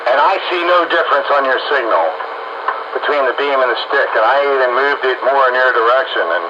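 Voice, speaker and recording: A man's voice: mid-range voice, fairly quick. A man chatting casually over two-way radio as an operator, often stammering and repeating his words. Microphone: radio transmission. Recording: muffled two-way radio with clear static.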